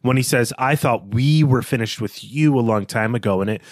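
A man with a mid-pitched voice discusses literature as a podcast host. He is analytical and reflective, thinking aloud as he goes, in a dry recording with little room sound. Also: normal speed, dry recording.

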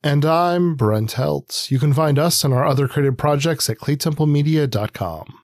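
A man co-hosting a book podcast in a casual, informal chat, halting and often trailing off mid-thought. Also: a little high, darkish tone, no room sound, clean studio sound. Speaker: podcast co-host. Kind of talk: casual conversational book discussion.

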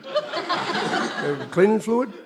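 A man's voice: sits high, huge pitch swings, very slow, heavy background noise, full character voice. A man playing a bumbling middle-aged working-class American husband in an old radio comedy, blustering and stammering. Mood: nervous, evasive. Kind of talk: 1940s radio sitcom acting. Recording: old radio recording, audience laughter.

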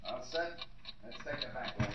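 Bossy crook, noisy old radio. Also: male voice, fairly high pitch, lively intonation, moderate pace, bright, forceful tone, dull audio. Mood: callous, dismissive. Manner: curt, gruff-tempered, commanding. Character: hard-boiled callous male crook, bossy gang leader. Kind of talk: 1940s radio acting. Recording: very noisy vintage 1940s radio recording.

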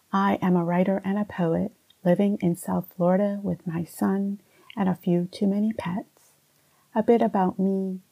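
Woman poet reading aloud, mellow and gravelly, measured delivery, dry close sound. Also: mid-range voice, no echo, rough recording. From Barbados. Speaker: poet and writing teacher. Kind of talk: poetry reading with spoken introduction.

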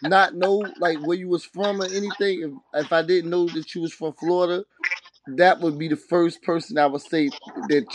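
A man's voice: fairly high pitch, steady tone, normal speed, background noise. A man hosting a casual hip-hop interview, speaking in slang and often repeating his words and phrases. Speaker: podcast host interviewer.

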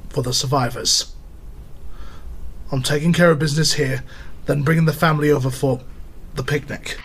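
A man's voice: a little high, fairly even intonation, normal speed, a bit bright, a little hiss or hum. A man playing a decent, anguished working-class family man in an audio drama, earnest, with a British accent. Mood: resolute.

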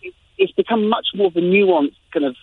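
An Englishman with lively pitch over a tinny phone line. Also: a little fast, husky. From England. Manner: animated, frequent restarts and repetitions. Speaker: guest journalist.

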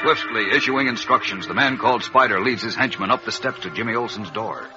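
A man's voice: fairly low pitch, brisk. A man narrating a serial in a dramatic 1940s radio style. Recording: muffled old radio with music behind.

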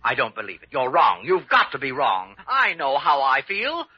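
An actor playing a fussy, indignant older hypochondriac, complaining plaintively and melodramatically in an old-time radio drama. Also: male voice, medium pitch, big pitch swings, moderate pace, husky, no echo. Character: fussy, indignant older male hypochondriac patient, self-pitying. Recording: dull, lo-fi vintage radio recording.

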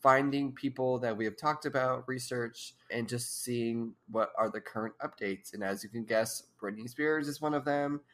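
A woman's voice: a bass voice, normal speed, hardly any pauses, fairly bright tone. A young woman in her twenties, a podcast co-host, talking casually and giggling often while stuffed up with allergies. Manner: giggly, rambling. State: congested. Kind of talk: casual chat.

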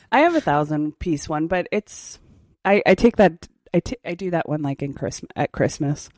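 Playful lower-voiced woman co-hosting. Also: expressive, moderate pace, a little mellow, dry recording. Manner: playful, teasing, laughs often. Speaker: podcast co-host. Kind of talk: casual chat.

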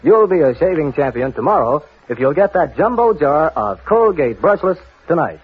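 Husky 1940s radio pitchman, lively. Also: normal speed. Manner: emphatic, repetitive salesman's delivery. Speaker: commercial announcer. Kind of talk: 1940s radio advertisement read. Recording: muffled, thin vintage radio broadcast.

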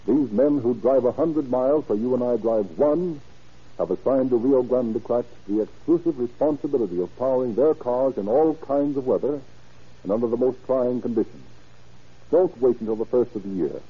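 A man delivers scripted narration and sponsor copy as an old-time radio announcer. He is formal and declamatory, with a husky edge. The recording sounds dull and low in fidelity. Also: lively intonation, moderate pace.

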